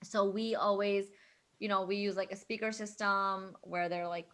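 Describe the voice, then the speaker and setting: A woman chatting casually and telling stories in an animated, emphatic way, peppering her talk with "like". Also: medium pitch, moderate pace. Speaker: wedding videographer, guest vendor. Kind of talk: casual chat, animated storytelling.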